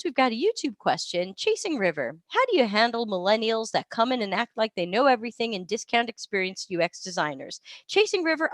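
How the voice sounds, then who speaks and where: A woman's voice: on the high side, a bit bright, no echo, studio quality. A woman who is sick with a cold, hosting a live Q&A stream, chatty and rambling, often stopping to correct herself. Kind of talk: casual live Q&A. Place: hotel or temporary room while travelling.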